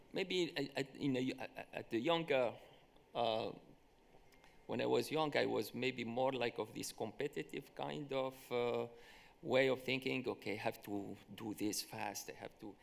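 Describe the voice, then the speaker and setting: A mathematician speaking on a symposium panel, answering questions in a hesitant way with many restarts and fillers. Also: male voice, medium pitch, creaky.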